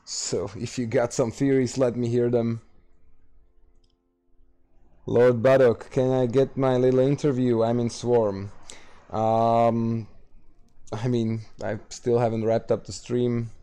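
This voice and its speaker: A man from Slovakia with a Slovak accent, hosting a late-night gaming livestream and answering chat questions in a halting, casual way full of fillers. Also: mid-range voice, level tone, dark tone. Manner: halting, many fillers and restarts, reads out chat. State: tired, streaming late at night.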